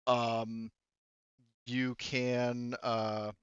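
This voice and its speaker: A man's voice: mid-range voice, little pitch movement, extremely slow, smooth, no echo, highs cut off. A man talking casually as game master of a tabletop game, swearing freely.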